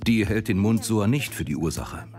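A man delivers scripted documentary narration in a fairly low voice. The telling is dramatic, built for a medical story, with music clearly playing behind him. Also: lively intonation, moderate pace. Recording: prominent music behind the voice-over. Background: music bed.

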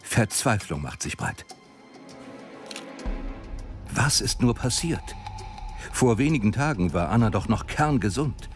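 A man delivers scripted documentary narration in a fairly low voice. The telling is dramatic, built for a medical story, with music clearly playing behind him. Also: very animated, unhurried, long pauses. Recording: prominent music behind the voice-over. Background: music bed.

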